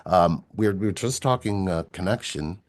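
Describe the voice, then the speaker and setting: An older man with a very deep, low voice, talking gently and unhurriedly on a relationship coaching livestream. He rambles, restarts his sentences and repeats words as he works out his thoughts. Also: moderate pace. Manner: soft-spoken, rambling, repeats words as he thinks aloud. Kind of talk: casual spiritual livestream chat.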